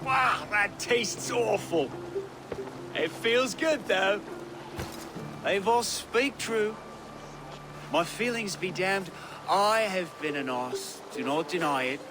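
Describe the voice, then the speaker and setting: An actor playing a proud young lord in a video game, scornful yet eager, with an expressive, halting delivery. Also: male voice, sits high, background noise. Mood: tipsy, contrite. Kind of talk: dramatic video game acting.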